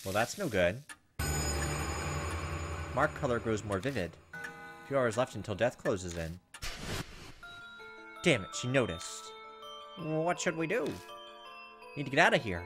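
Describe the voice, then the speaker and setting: A man's voice: mid-range voice, very animated, unhurried, long pauses, music in the background. A man hosting a gameplay video, reading visual novel text aloud with informal, joking asides.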